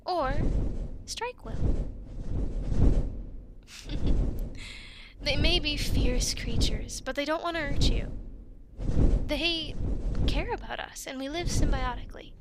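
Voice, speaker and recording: A woman playing a friendly young Viking dragon-riding instructor in an ASMR roleplay, coaching the listener with reassurance and gentle teasing. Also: high voice, measured pace, very noisy. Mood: calming. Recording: loud ambient sound effects behind voice. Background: loud ambience sound effects.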